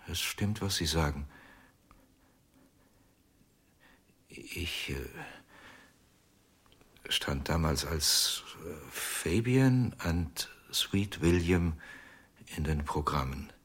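An actor playing a haunted, obsessive ventriloquist in a German radio drama, speaking hesitantly with many pauses. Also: male voice, sits low, fairly bright tone, husky, full character voice. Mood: brooding. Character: haunted, obsessive male ventriloquist, middle-aged. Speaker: ventriloquist under questioning.

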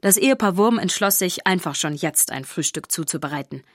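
A woman's voice: fairly low pitch, expressive, brisk, no echo, clean studio sound. A woman giving scripted narration of a children's story.

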